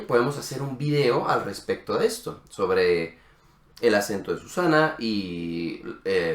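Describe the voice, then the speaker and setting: A man co-hosting a casual conversation, chatty, often finishing the others' sentences. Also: medium pitch. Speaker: co-host of a language channel. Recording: roomy echo.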